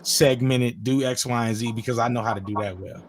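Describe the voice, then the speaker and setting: A man's voice: mid-range voice, steady tone, a little fast, slight background noise. A man in his thirties hosting a livestream chat, talking in a profane, slangy way and steering the talk with questions. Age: 30s. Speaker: livestream host. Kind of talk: casual chat. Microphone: distorted mic at times.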